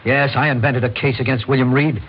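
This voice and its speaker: Actor as principled American lawyer on muffled old radio. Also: male voice, medium pitch, fairly even intonation, a little fast, natural voice. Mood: defiant. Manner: formal, emphatic, oratorical. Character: Jay, principled, defiant lawyer confronting vigilantes. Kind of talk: mid-century American radio drama acting. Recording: muffled old radio recording with faint noise.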